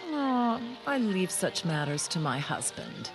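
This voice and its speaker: A woman's voice: fairly low pitch, big pitch swings, a little slow, soft background music. An actress playing a flirtatious, wealthy married society woman in a radio mystery, arch, coy and teasing. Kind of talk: radio mystery drama acting.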